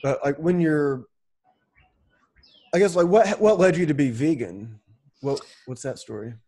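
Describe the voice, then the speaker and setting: A man's voice: fairly high pitch, animated, unhurried, mellow. A man in his late thirties hosting a podcast, talking casually and hedging a lot with fillers. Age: 30s. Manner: rambling, hedging with "like" and "um". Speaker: podcast host. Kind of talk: casual interview conversation.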